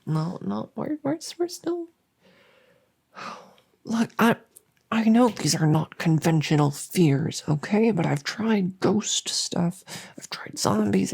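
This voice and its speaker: Woman doing goofy harmless monster under the bed, asmr roleplay, breathy and whispery with some creaky fry, rambly, repeats herself, earnest. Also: on the low side, heavily acted. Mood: flustered. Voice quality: soft, breathy, often whispering. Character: silly chatty female monster under the bed, harmless, eager to scare. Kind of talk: whispery ASMR audio roleplay, addressing the listener.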